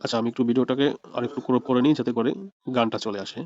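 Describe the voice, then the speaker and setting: A man's voice: mid-range voice, level tone, very fast, a little mellow, lo-fi sound, faint music behind. A man narrating a screen tutorial as an instructor, explaining step by step and repeating his points. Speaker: video editing instructor.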